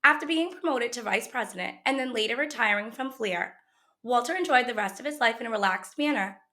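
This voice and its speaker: A woman with a fairly high, very bright voice reads a picture book aloud for children. She tells the story expressively, in the manner of a schoolteacher, shaping the lines for young listeners. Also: a little fast. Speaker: teacher reading a book aloud.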